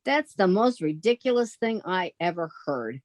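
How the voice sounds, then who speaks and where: A woman's voice: on the low side, sing-song, moderate pace, dry recording, clean studio sound. A woman acting in an old-time radio comedy as a sensible, theatrically exasperated young working woman with a wry manner.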